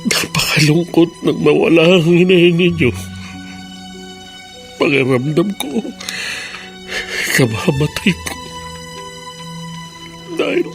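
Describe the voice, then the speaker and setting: Sorrowful, stubborn-turned-repentant elderly Filipino father for radio drama; highish, swooping, halting voice over music. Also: full character voice. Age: older. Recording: music bed behind the voice.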